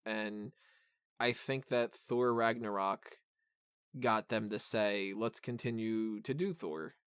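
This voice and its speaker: A man's voice: on the low side, fairly even intonation, slow, a bit bright, clean tone, no room sound, clean studio sound. A man hosting a podcast, discussing a film casually in a rambling, enthusiastic way. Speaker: podcast host reviewing a film.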